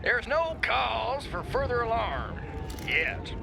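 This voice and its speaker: An actor playing a swaggering, pompous kingpin and demagogue in a satirical audio play. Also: male voice, extremely high pitch, big pitch swings, slow pace, vocal fry, very noisy, heavily acted. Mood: grandiose. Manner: pompous, grandiose speechifying.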